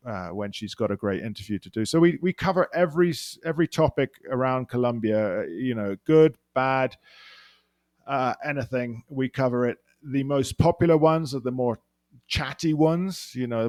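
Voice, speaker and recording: A man's voice: medium pitch, a little mellow, no room sound, clean studio sound. A man with a London accent chatting as a podcast guest, rambling with frequent restarts and fillers. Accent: London English. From England. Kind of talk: casual interview chat.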